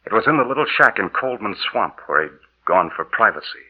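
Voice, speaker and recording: A man's voice: lowish voice, big pitch swings, fairly quick, husky, phone-quality sound. An actor playing a trusting, earnest inventor betrayed by his partner, delivered with emphatic old radio horror drama acting. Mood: bitter, haunted. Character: trusting, eager male inventor, now a bitter dead narrator. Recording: old 1930s-40s radio broadcast recording.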